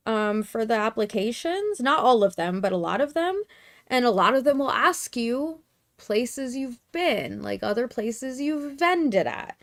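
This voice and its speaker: A woman streamer chatting casually and rambling with lots of fillers, tired and with her voice going hoarse. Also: a little high. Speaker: craft streamer. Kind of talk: casual livestream chat.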